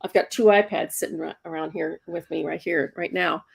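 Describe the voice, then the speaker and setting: A woman's voice: fairly low pitch, steady tone, brisk, vocal fry, slightly rough. A woman in her sixties, a comics inker, talking casually in an interview and often restarting her words. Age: 60s. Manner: chatty, repeats words when restarting.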